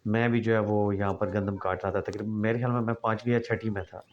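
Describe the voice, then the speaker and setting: A man narrating a vlog in a calm, explanatory voice-over, reminiscing as he goes. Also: fairly low pitch, quick pace, dull audio, a little hiss or hum.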